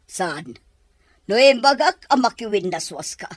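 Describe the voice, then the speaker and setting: A woman's voice: mid-range voice, very animated, a little slow. A woman playing a bitter, stern middle-aged mother nursing an old heartbreak in an Ilocano audio drama. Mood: bitter, insistent. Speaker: voice actor in a radio-style drama. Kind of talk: Ilocano radio drama acting.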